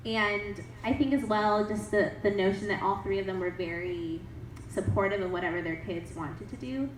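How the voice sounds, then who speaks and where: Young woman author taking audience questions, animated and upbeat, heard from a distance in an echoey hall with faint background noise. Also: mid-range voice, moderate pace. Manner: animated, laughs often, upbeat. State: has a cold. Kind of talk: casual Q&A talk. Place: event hall.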